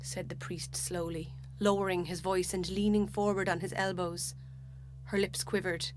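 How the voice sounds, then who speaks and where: A woman with an Irish accent narrating a literary short story in a measured, dramatic way. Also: fairly low pitch, flat delivery, a little hiss or hum, rough recording.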